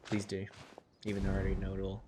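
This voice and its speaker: A man's voice: sits low, completely flat delivery, very fast, a little hiss or hum. A man streaming a game, chatting casually and reacting in an informal, sweary way. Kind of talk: casual reaction chat while playing. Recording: loud game music under the voice. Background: game music.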